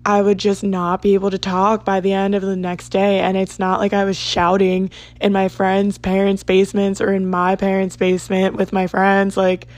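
A woman in her twenties from South Jersey, hosting a podcast in a rambling, chatty monologue, her voice hoarse and raspy. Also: mid-range voice. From South Jersey, United States. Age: 20s. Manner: rambling, lots of "like" and asides. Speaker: podcast host.

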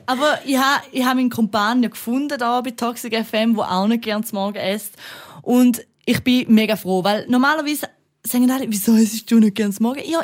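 A woman speaking with a St. Gallen Swiss German accent, an enthusiastic radio presenter chatting casually and laughing often on a podcast. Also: a little high.

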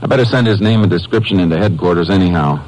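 An actor playing a calm, businesslike Texas Ranger in a 1950s radio drama, terse and matter-of-fact. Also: male voice, low-pitched, racing, slight background noise, natural voice, low-quality recording. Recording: old-time radio recording with music.

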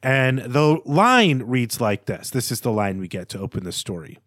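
A man co-hosting a literary podcast, talking through analysis in a measured, scholarly way with halting phrasing. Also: medium pitch, big pitch swings, a bit bright, no echo, studio quality. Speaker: podcast co-host.

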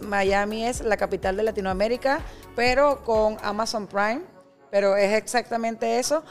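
A woman being interviewed as an entrepreneur guest, speaking in a frank, emphatic and expressive way. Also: mid-range voice. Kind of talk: interview conversation.

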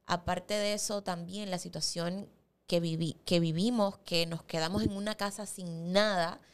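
A woman with a Dominican Spanish accent asks questions and speaks in a clear, resonant voice. She sounds reflective and deliberate in a casual interview. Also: lowish voice, fairly even intonation. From Dominican Republic.

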